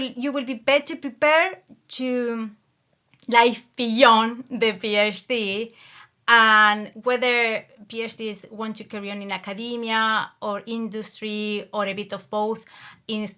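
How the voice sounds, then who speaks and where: A woman's voice: a little high, pushed and bright. A woman from Argentina who speaks English with an Argentine Spanish accent. She is a guest on a podcast and talks earnestly, a little self-conscious about her English, laughing easily. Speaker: guest, PhD coach. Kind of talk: podcast interview.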